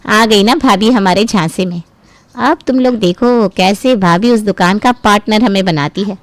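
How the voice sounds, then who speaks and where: A woman's voice: medium pitch, measured pace, no echo, close to an everyday voice. A woman voicing a greedy, scheming younger sister-in-law in a family cartoon story, ingratiating and smug.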